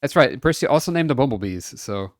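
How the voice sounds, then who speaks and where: A man streaming a game, chatting casually with friends, laughing often and greeting viewers brightly. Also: mid-range voice, expressive, a little fast, no room sound, clean studio sound. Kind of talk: casual livestream chat.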